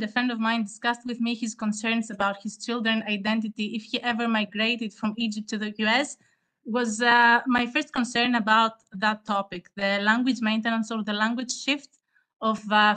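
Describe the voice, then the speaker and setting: Greek woman presenter, clear bright voice, earnest and hesitant. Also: on the high side. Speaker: award-winning student presenter. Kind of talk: formal online conference presentation.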